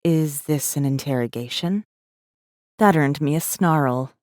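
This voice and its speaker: Guarded rebel heroine, very low mellow voice, dry studio sound, intimate first-person audiobook narration, sardonic edge. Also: female voice, unhurried, dry recording. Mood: guarded, brooding. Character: guarded, wry rebel woman messenger narrating her own story.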